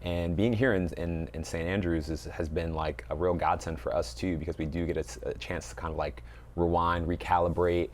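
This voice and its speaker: A man's voice: low-pitched, fairly even intonation, moderate pace, slight background noise. A man giving thoughtful, reflective interview answers as a coach, feeling his way through his phrasing. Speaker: basketball coach, interview guest.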